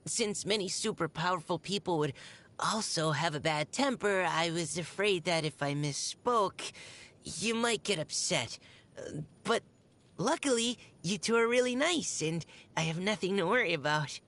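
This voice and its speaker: A young woman voicing a shy, gentle, earnest army scout in a video game, speaking politely and hesitantly. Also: fairly low pitch, lively intonation, normal speed. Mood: warm, grateful. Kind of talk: video game character voice lines. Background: music.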